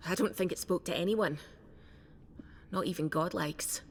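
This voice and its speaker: A blunt smuggler from a fantasy game acted by a woman, her voice sitting a little low. She is dry and guarded, tossing out sardonic remarks over faint background noise. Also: normal speed, close to an everyday voice. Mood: skeptical. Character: wry, blunt smuggler woman with a soft heart.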